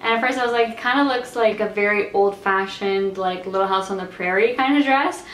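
Casual vlogger, woman in her twenties, mid pitch, a little nasal and raspy, chatty and rambling; roomy bedroom sound with faint hiss. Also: a little fast, fairly bright tone. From Canada. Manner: chatty, rambling, lots of "like" fillers. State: slightly nasal and raspy from allergies. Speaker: fashion vlogger. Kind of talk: casual try-on haul chat. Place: bright bedroom.

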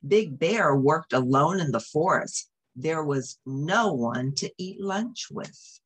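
Expressive, unhurried woman reading a storybook aloud. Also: low voice, husky. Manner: expressive, explains the pictures. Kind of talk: read-aloud storytelling with asides to young listeners.

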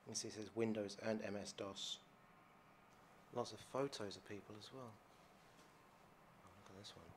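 A man's voice: lowish voice, fairly even intonation, moderate pace, slight background noise. A man casually narrating as he explores an abandoned hospital, chatty and curious, explaining what he finds. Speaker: urban explorer host.

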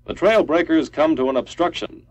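A man's voice: fairly high pitch, normal speed, darkish tone. A man reading a scripted 1950s military training film narration in a formal, clipped, instructional manner. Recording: dull lo-fi 1950s film soundtrack.

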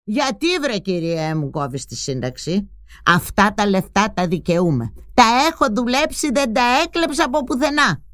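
A woman's voice: on the low side, sing-song, dry recording, studio quality. A woman playing a grumbling old mother. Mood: ranting. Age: older. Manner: scolding, chatty, complaining, with sudden outbursts. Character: older female pensioner mother, bossy, grumbling, sharp-tongued but doting. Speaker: narrator's mother in a dramatized memoir.

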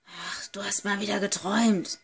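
A woman playing a young friend braving a haunted villa, subdued and measured, in an amateur horror audio drama. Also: medium pitch, fairly even intonation, vocal fry, husky. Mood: reassuring.

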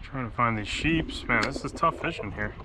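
A man's voice: mid-range voice, normal speed. A man in his thirties giving laid-back, chatty commentary while fishing from a kayak on open water, recorded on an action camera. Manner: laid-back, chatty, excited exclamations over catches. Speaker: fishing vlogger. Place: kayak on open water under a pier, outdoors. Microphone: action camera mounted on kayak. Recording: outdoor wind and water noise. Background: water and wind ambience.

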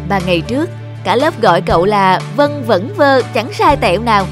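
A woman voicing a reassuring, sensible young woman in a cartoon, with an encouraging, gently preachy manner. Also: fairly high pitch, very animated, moderate pace, music in the background. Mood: cheerful teasing. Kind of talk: animated cartoon dubbing.

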